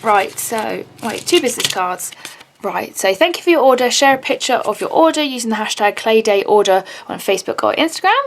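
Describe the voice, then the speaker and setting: A woman unboxing handmade charms in a casual vlog, gushing and excitable, with a slightly raspy voice. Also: fairly high pitch, fairly quick, slight background noise. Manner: gushing, excitable, many "oh my God" and gasps.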